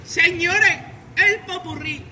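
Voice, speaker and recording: Slow, extremely high-pitched man in echoing hall. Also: background noise. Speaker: performer in a comic carnival quartet. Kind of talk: theatrical comic declamation. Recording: reverberant hall, distant, crowd and music. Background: crowd and music.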